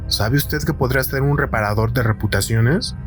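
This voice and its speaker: A man's voice: medium pitch, moderate pace, lightly acted. A man voicing an earnest, polite tradesman who speaks courteously and insistently, in an audio story with music behind. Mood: probing, concerned. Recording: light music behind the reading.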